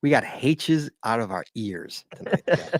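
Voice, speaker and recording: An American man hosts a podcast in casual, joking banter, his pitch swinging up and down as he teases his guests. His voice sits at a middling pitch. It is recorded close and dry, with no room sound. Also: no echo. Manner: lively, jokey, fast-paced. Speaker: podcast host.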